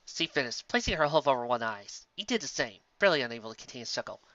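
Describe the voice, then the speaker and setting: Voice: mid-range voice, lively intonation, quick pace, pushed and bright, no room sound, dull audio. A narrator reading a scripted story expressively. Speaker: narrator, fan fiction reader.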